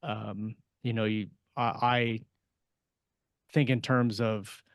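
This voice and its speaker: A man talking casually on a panel as an author, halting and repeating words as he thinks aloud. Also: lowish voice, fairly even intonation, creaky, no room sound, studio quality. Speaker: science fiction author on a panel podcast.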